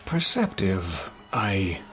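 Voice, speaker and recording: Slow, swooping actor as a guarded, teasing man. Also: deep voice, sharp tone, full character voice. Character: teasing, guarded man slowly admitting he belongs. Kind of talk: acted fan fiction audio drama.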